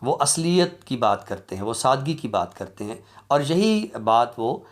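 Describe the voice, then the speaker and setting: A man giving an academic lecture in a deliberate, explanatory way, with halting pauses. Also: mid-range voice, very animated. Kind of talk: academic lecture on literary criticism.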